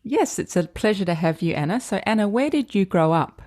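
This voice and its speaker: A woman hosting a podcast, reading a scripted intro and then interviewing a guest in a measured, friendly way. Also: medium pitch, animated, darkish tone, no room sound, clean studio sound. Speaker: podcast host.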